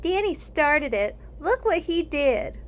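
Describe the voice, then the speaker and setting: A bossy storybook big brother, acted out by a woman, whiny and scornful. The boy's lines jump higher and louder than plain reading, with a sneer for his little brother. There is faint noise behind the voice. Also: big pitch swings, slow, full character voice. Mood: whiny, indignant. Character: bossy, scornful older brother, a young boy.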